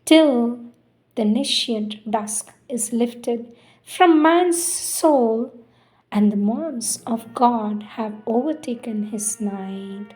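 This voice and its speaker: A woman reciting spiritual poetry in a solemn, measured, deliberate way with pauses between phrases. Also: on the high side, darkish tone. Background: music.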